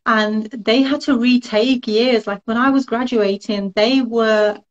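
A woman's voice: on the high side, steady tone, unhurried, highs cut off. A woman in her thirties, born in Russia and raised in London, chatting casually as an interview guest over a webcam call, with a rambling style full of fillers. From born in Russia, grew up in London. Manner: chatty, rambling, many fillers and quoted asides. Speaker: solicitor and law firm partner, interview guest. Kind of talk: casual interview chat on a live video call. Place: small room at home, indoors. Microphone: video call webcam.

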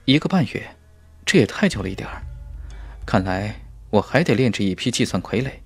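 A man's voice: lowish voice, lively intonation, a little fast, vocal fry, husky, faint music behind, full character voice. A man voicing a confident, brash young male cultivator hero in a fantasy audiobook, musing to himself. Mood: pondering.

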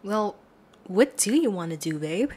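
A woman's voice: mid-range voice, expressive, measured pace, a little mellow, breathy edge. A woman performing a girlfriend roleplay for listeners, coaxing and affectionate. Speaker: ASMR roleplay creator.

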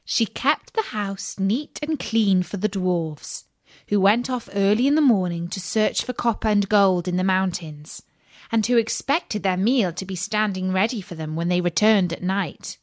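A woman narrating a scripted children's audiobook as an expressive, measured storyteller. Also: mid-range voice, no echo, clean studio sound.

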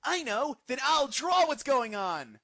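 A man voicing a naive, excitable teenage boy ninja who rambles childishly in a comedic parody cartoon dub. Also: extremely high pitch, takes it slowly, vocal fry, background noise, exaggerated voice. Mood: excited rambling.

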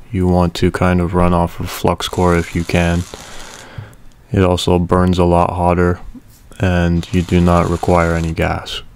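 A man casually narrating a welding repair video in a relaxed, matter-of-fact way. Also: deep voice, a little hiss or hum.

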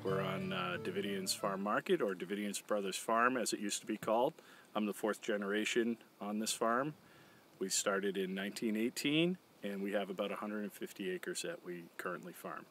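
A farmer in his forties speaks with a fairly low voice and a bright, forceful edge. He talks plainly and matter-of-factly, with frequent fillers. He was recorded outdoors in a field, with a faint hiss of open air behind him. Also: expressive, moderate pace. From Massachusetts. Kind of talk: casual interview talk.

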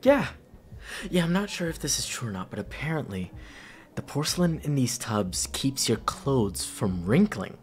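A young man playing a caring best friend at a party in an ASMR roleplay, talking casually in a halting, rambling way. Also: medium pitch, sing-song, lightly acted. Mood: relaxed, chatty. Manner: halting, rambling, breathy chuckles. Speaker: roleplay audio performer.

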